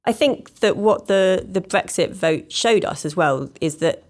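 A woman's voice: fairly low pitch, expressive, measured pace. A woman speaking on a discussion panel about inequality and investment, earnest and fluent with fillers. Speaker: panel guest.

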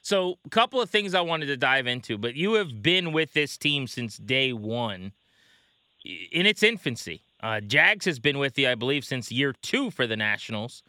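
A man's voice: medium pitch, very animated, moderate pace, pushed and bright, no room sound, studio quality. A man hosting a sports show, interviewing in a casual, conversational style.

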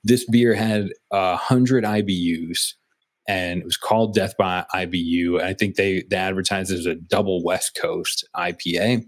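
A fairly low-voiced man talks casually on a podcast. He rambles and restarts his sentences, leaning on fillers as he goes. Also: steady tone, normal speed. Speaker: podcast co-host. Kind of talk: casual beer podcast chat.

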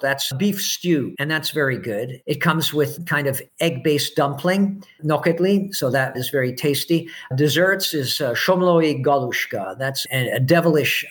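A middle-aged man from Canada, a podcast guest, answering in a relaxed, measured way with hesitations and small chuckles. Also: a little high. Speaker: podcast guest, expat in Budapest. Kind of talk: casual interview answers. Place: home, remote podcast call.

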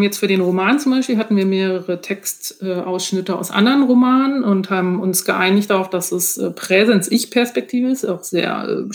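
A woman with a German accent speaks as an interview guest. Her pitch is average for a woman. She explains her craft as an author in an informal, conversational manner. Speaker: guest, author of novels and audio dramas.

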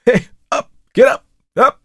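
A man's voice: a little slow, no echo, natural voice. A man voicing a fear-stricken, melodramatic notary who believes he is dying, in a strained, breathless storybook voice. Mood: panicked groaning. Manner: melodramatic pleading. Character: fear-stricken hypochondriac notary, groaning and frantic, convinced he is dying.

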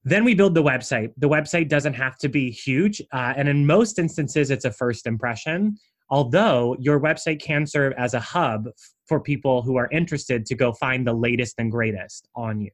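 A man who coaches people on branding, explaining his method in a conversational interview and speaking in an animated way with frequent casual asides. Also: medium pitch, moderate pace.

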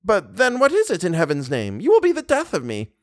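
A fretful old woman from a storybook, acted out by a male reader, kindly and simple. The voice is lifted high and swings widely in pitch, with an exclaiming, worried manner. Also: wildly swooping pitch, a little fast, no room sound, clean studio sound. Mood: alarmed, pleading. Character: anxious elderly widow, kindly, simple adoptive mother.

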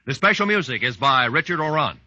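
A man's voice: mid-range voice, expressive, brisk. A man announcing show credits in a formal 1940s radio style. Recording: dull 1940s radio recording.

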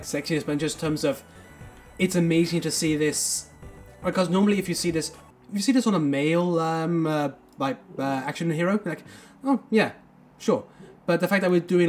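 A man on a film review podcast talks enthusiastically and rambles through his points with plenty of fillers. His voice sits a little high for a man. Faint music plays underneath. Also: brisk, darkish tone. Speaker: podcast co-host. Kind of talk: casual film review chat.

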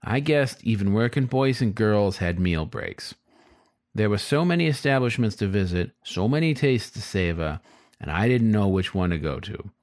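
A man's voice: fairly low pitch, animated, normal speed, a little mellow, dry recording, studio quality. A man reading a scripted first-person story as its narrator. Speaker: story reader.

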